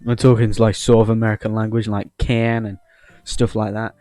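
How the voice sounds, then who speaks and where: A man's voice: level tone, normal speed, mellow, no echo. Cheeky Englishman rambling over music. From England. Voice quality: deep voice. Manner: cheeky, joking, swears casually. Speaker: host of a gaming video channel. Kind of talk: casual rambling playthrough commentary, reading game dialogue aloud.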